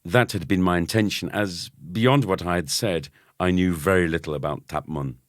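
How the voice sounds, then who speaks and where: A man narrating a children's story expressively, with dramatic and deliberate phrasing. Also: low-pitched, no room sound, studio quality. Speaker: audiobook narrator.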